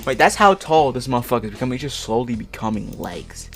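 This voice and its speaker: A man's voice: mid-range voice, animated, fast, fairly bright tone. A man hosting a comic reading of fan fiction, stumbling over words and breaking into slangy, profane, exasperated asides. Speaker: host reading fan fiction aloud for an online video. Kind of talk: comic dramatic reading with casual side commentary. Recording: music playing behind the voice. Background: music.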